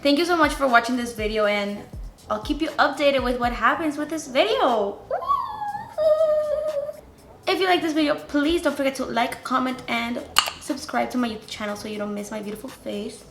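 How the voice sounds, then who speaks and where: A twenty-year-old woman with a Latin American Spanish accent, a native Spanish speaker, chatting excitedly and slangily to camera in a casual beauty vlog. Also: on the high side, normal speed, long pauses, heavy background noise. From Venezuela, grew up in the Dominican Republic. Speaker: beauty YouTuber. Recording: roomy echo.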